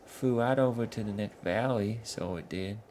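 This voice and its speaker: A man voicing Sligu, a gruff blunt troll. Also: fairly low pitch, moderate pace, a little hiss or hum, voice pushed up, natural voice. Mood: gossipy. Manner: broken rustic grammar, loud, blunt. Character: gruff rust-brown male troll henchman, blunt.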